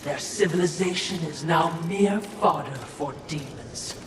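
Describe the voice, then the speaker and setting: A woman playing a servile, sly spirit attendant in a video game, speaking in a formal, dryly needling way. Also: unhurried. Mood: musing, needling. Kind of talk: dramatic game cutscene acting. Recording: echoey, distant, over clear rumbling noise.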